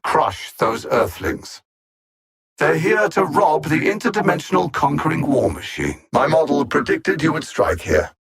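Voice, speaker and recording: An actor playing an arrogant alien supervillain in a video game, coldly menacing and theatrical, with haughty, condescending, precisely worded speech. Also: male voice, mid-range voice, vocal fry, fairly bright tone, husky, exaggerated voice. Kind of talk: scripted video game villain lines.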